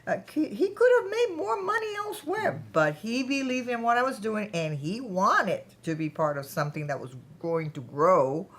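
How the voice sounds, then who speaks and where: Woman acting ambitious female company founder, proud, chatty, mid-pitched, staged play reading. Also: sing-song, moderate pace, hardly any pauses. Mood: enthusiastic, candid.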